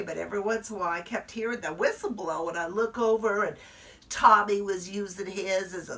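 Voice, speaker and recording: A woman's voice: medium pitch, creaky, a bit bright, distant mic. A woman storyteller voicing a chatty little first-grade girl who confides in her listeners. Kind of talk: performed storytelling.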